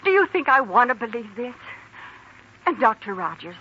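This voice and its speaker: A woman's voice: on the high side, big pitch swings, normal speed, pushed and bright, husky, heavily acted. An actress playing a troubled, doubting young woman in a 1940s radio melodrama, hesitant and earnest. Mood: doubting, pained. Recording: muffled, hissy old radio recording.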